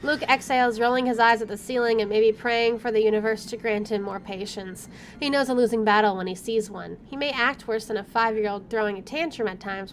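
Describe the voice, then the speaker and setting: High-voiced woman reading a story aloud. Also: slight background noise. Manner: stumbles over words and rereads them. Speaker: let's play host. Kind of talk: reading visual novel narration aloud with side comments.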